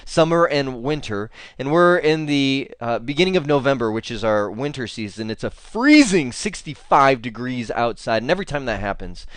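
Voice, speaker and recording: A man's voice: medium pitch, lively intonation, moderate pace, few pauses, a bit bright, no room sound. A man with a stuffy cold giving a chatty screencast tech lesson, full of fillers and bursts of enthusiasm. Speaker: instructor.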